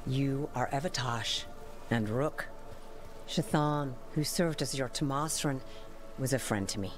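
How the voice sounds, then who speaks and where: A woman playing a solemn, guarded female scholar with horns in a video game, speaking in a formal, measured way. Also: a bass voice, over music. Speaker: linguist.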